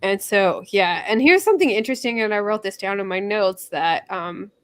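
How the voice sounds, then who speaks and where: A woman's voice: medium pitch, animated, normal speed, clean tone. A woman hosting a casual book discussion in a chatty, informal and slightly tentative way. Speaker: discussion host.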